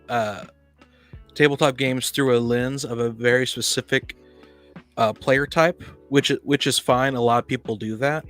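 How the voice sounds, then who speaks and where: A man with an average-pitched voice talks in a casual podcast discussion. He feels his way through sentences, repeating and rewording as he goes. Faint music runs underneath. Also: fairly bright tone. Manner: halting, often repeats and rephrases. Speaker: podcast panelist. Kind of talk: casual discussion of tabletop roleplaying games.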